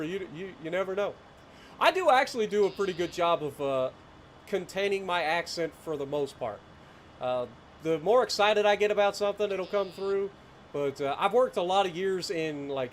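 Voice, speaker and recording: A man from Ohio speaks with a light Appalachian twang, relaxed and chatty as he streams and answers viewers. His voice sits a little high for a man. A faint noise runs under the recording. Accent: Appalachian (Ohio foothills), mild. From Ohio, USA. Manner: laid-back, chatty, talks to chat viewers by name. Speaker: game streamer. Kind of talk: casual live-stream chat while playing.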